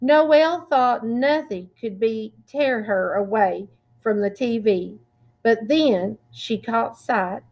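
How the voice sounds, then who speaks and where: Slow, higher-pitched woman reading a storybook. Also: creaky. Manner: deliberate and expressive, with drawn-out words. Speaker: children's storytime reader. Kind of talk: read-aloud picture book narration for children.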